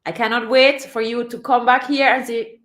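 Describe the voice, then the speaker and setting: A woman chatting casually on a livestream, reading her chat and urging viewers to chase their dreams, animated and repeating herself often. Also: on the high side, moderate pace, reverberant. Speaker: vlogger reading live chat.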